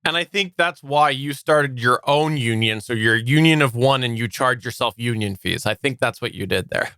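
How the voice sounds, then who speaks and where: A man's voice: mid-range voice, normal speed, bright, forceful tone, clear, resonant tone, no room sound, studio quality. A man chatting casually as the co-host of a podcast.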